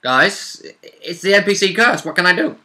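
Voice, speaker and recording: A man's voice: sits high, lively intonation, moderate pace, pushed and bright. A man chatting casually as a player and co-host, recapping a tabletop game session.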